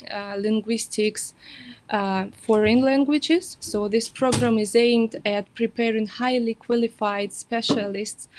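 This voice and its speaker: A woman with a somewhat high voice walks through study programs on a webinar. She speaks in English, fills her pauses with "uh" and "um" and restarts her sentences as she goes. The sound comes through a video call. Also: a little mellow. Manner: hesitant, many fillers and self-corrections. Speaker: university representative.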